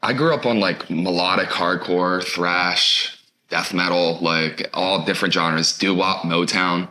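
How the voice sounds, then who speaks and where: Thirties male musician, deep and forceful, eager delivery. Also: steady tone, unhurried, slight background noise. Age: 30s. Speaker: band member. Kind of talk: casual interview chat.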